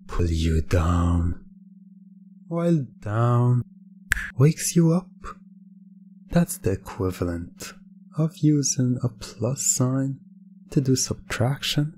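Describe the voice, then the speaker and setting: A man performing hypnosis ASMR in a soft, husky, breathy voice, speaking deliberately with a teasing, commanding manner. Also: mid-range voice.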